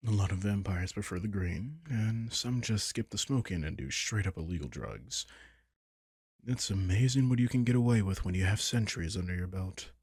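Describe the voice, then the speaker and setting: A man performs a smug vampire king for an intimate audio drama, his voice low and husky. He takes his time, teasing and condescending as he toys with his prey. The sound is close and dry. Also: animated, moderate pace, a little mellow, no room sound. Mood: weary, relaxed. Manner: teasing, condescending, casually profane. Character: possessive, sardonic vampire king, smug and impatient.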